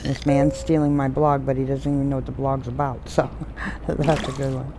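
A middle-aged woman streaming a game from home on a desk mic, talking softly in meandering, complaining asides. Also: rumbling low, level tone, normal speed, background noise. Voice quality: soft voice. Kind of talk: rambling casual commentary. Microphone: desk mic with headphones. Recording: loud game music behind voice. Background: game music.

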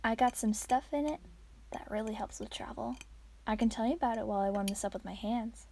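A woman playing a friendly, absent-minded part-dragon traveler in an ASMR roleplay, soft-spoken, chatty and musing. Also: a little high, a little fast, gravelly. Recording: faint noise, clicking sounds, outdoors.

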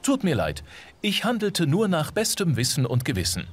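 An earnest, naive game android learning to be human. Phrasing is formal and precise, while the pitch swings very widely. Music sits clearly in the background. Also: male voice, wildly swooping pitch, normal speed. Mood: sheepish, polite. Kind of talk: video game voice acting.

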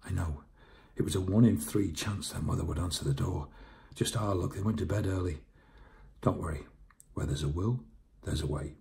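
A man voicing a polite, calm, determined schoolteacher in a storybook, reassuring in manner. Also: deep voice, moderate pace, gravelly, pauses often.

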